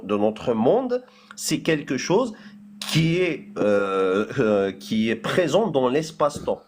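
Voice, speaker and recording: A man's voice: fairly high pitch, slight background noise. A man speaking French as a non-native in a heated debate, hesitant and full of fillers, repeating words while insisting on finishing his point. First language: not French.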